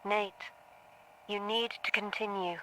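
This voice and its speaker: An actress playing a detached therapist in an audio drama, asking probing questions in a casual, clinical manner. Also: female voice, mid-range voice, fairly even intonation, measured pace, fairly bright tone, a little hiss or hum, close to an everyday voice, poor audio. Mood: coolly insistent.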